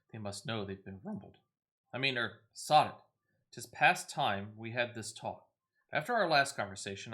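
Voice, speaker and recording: A man reading game dialogue as a gruff, blunt seafaring veteran who curses and talks in folksy turns. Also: mid-range voice, bright, forceful tone. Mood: grim, conspiratorial. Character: gruff, salty male seafaring veteran commander.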